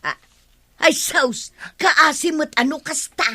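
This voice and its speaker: A woman's voice: high voice, slow, pushed and bright, full character voice. A woman playing a nosy, opinionated neighbour in an Ilocano radio comedy drama, with an Ilocano accent and exclamatory questioning. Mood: curious, surprised.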